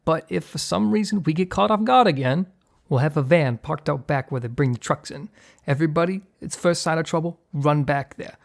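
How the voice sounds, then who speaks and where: A man playing a gruff, short-tempered mob boss in an ASMR roleplay, with a gravelly, husky voice. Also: a little high, a little fast. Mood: commanding, businesslike. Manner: commanding street-tough slang with crew, flustered hushed stammer with partner. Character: gruff, short-tempered male mob boss hiding his work from partner.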